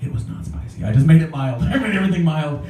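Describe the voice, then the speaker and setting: A man doing a stand-up comedy set for a live club audience, animated and jumping into high voices for the people he quotes. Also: quick pace, slight background noise. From Mexican-American. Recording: roomy, distant live-club mic with crowd laughter.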